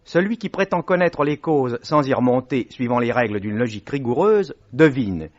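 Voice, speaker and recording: A man presents an old radio broadcast in a formal, measured way, explaining clearly. His pitch moves expressively. The sound is dull and lo-fi, like a vintage recording. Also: animated.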